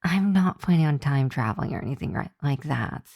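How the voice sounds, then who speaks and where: Woman doing a teasing vampire college girl, asmr roleplay, mellow slow voice, very dry close sound, playful. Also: deep voice, animated, no echo, heavily acted. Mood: teasing, argumentative. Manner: playful, teasing, drawn-out delivery with breathy asides.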